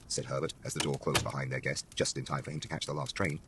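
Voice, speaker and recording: A man's voice: very deep voice, little pitch movement, fast talker, background noise. A man narrating a horror story in a dramatic, scripted style.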